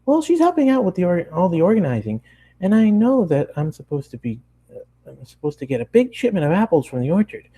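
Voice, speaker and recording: A man acts out an excitable young cartoon pony girl, pitched far up from a natural speaking voice. The delivery is gushing, exclamatory chatter, bubbly and eager to please. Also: fairly quick, slightly rough, exaggerated voice. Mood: helpful.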